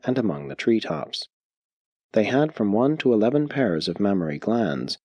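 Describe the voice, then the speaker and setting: A man reading a book aloud as an audiobook narrator, in a measured, steady way with pauses between listed items. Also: on the low side, breathy edge, no echo, clean studio sound.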